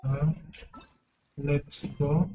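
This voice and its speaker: A man's voice: on the high side, level tone, extremely slow, lo-fi sound, slight background noise. Indian-accented man casually commentating a game. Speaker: game streamer.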